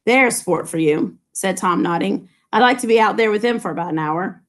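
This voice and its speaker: Chatty middle-aged teacher reading aloud. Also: female voice, mid-range voice, normal speed. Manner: chatty asides full of "like" and "you know". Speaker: high school English teacher. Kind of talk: reading a novel aloud with commentary. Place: classroom with low ceiling and fluorescent lights. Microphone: laptop webcam from below.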